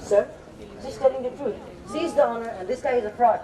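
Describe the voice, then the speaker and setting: A student actor playing a brisk character in a fraud dispute in a school play. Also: medium pitch, a crowd in the background, natural voice. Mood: insistent. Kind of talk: school stage play acting. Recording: noisy crowded hall, distant mic.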